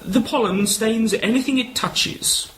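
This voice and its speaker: Man playing a courtly high-voiced old colonel. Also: measured pace, fairly bright tone, a little hiss or hum, rough recording. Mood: proud, confiding. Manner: genteel, old-fashioned gallantry. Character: courtly, proud older retired colonel and lily grower. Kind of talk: amateur radio play acting.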